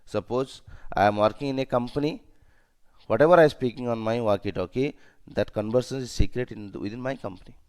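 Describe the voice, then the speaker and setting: Indian accent guy doing a training lesson, mid pitch, stresses stuff and repeats it. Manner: emphatic, repeats key points, checks understanding with short questions. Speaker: safety and security trainer. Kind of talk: instructional lesson.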